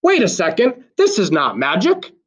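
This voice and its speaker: A man's voice: sits high, wildly swooping pitch, moderate pace, lo-fi sound, voice pushed up. A man voicing a skeptical young boy who blurts things out in a storybook reading.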